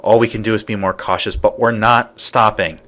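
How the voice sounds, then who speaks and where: A man playing a determined, businesslike space explorer in a video game, speaking in a measured, matter-of-fact way. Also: on the low side, fairly even intonation, sharp tone. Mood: resolute, measured. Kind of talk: video game character dialogue.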